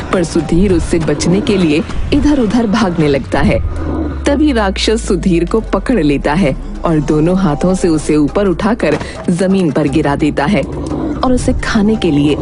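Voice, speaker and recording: A woman giving scripted narration for an animated children's fairy tale. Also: lowish voice, unhurried, over music.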